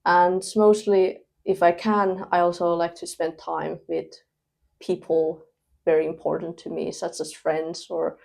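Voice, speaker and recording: Young woman, lowish voice, relaxed guest chat with ums. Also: vocal fry. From Finland. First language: Thai. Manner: relaxed, laughs between thoughts, pauses with fillers. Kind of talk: casual interview chat.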